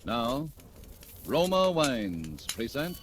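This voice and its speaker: A man's voice: medium pitch, very animated, extremely slow, a little hiss or hum. A man reading a commercial as a polished, salesmanlike 1940s radio announcer. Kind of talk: 1940s radio advertising read. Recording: vintage 1940s radio broadcast.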